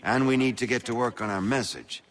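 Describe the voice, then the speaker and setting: An actor playing a shrewd, persuasive colonial revolutionary leader in a video game, speaking formally and rhetorically with assurance. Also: male voice, mid-range voice, brisk, natural voice. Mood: assured, pragmatic. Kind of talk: dramatic game dialogue. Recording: long reverberant hall echo.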